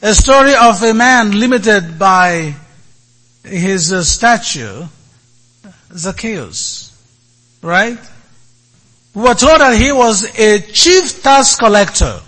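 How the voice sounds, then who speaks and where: A man giving a sermon as a priest, speaking in short, deliberate phrases with many pauses. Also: sits high, lively intonation, pushed and bright, poor audio.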